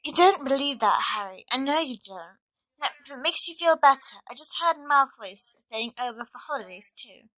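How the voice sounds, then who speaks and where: A woman's voice: high voice, expressive, normal speed, a bit bright, no echo, low-quality recording. A woman playing a clever, bossy schoolgirl witch in an audio drama, assured and instructing. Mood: reassuring.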